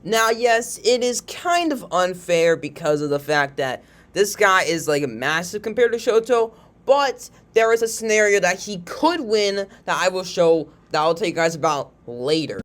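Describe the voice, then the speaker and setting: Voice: medium pitch, expressive, moderate pace, fairly bright tone. A video host giving casual, rambling commentary on character matchups, often checking in with the listener after making a point. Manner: rambling, informal, frequently tags statements with a questioning check.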